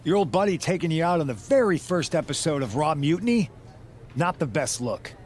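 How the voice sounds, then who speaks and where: A man's voice: on the high side, lively intonation, a little fast, a little mellow, close to an everyday voice. Man playing a firm, calculating wrestling faction leader. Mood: firm, reproving. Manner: firm, persuasive. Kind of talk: dramatic video game cutscene dialogue.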